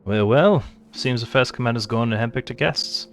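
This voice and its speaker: Rough, folksy fantasy soldier voiced by a man. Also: mid-range voice, moderate pace, soft background music, lightly acted. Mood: folksy, wry. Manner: colloquial, dropped grammar, blunt.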